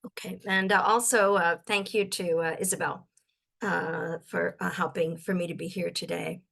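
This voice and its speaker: Older woman from Ontario, slightly croaky, lowish voice, halting speech with many ums. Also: steady tone, fairly bright tone. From Ontario, Canada. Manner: halting, many fillers and restarts. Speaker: guest speaker. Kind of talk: webinar talk.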